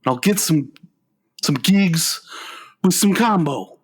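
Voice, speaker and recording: An actor playing a whiny, sulky adult son, a struggling musician, in a comedic radio play, with a plaintive, halting delivery. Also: male voice, on the high side, expressive, breathy edge, exaggerated voice. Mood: shaken, earnest.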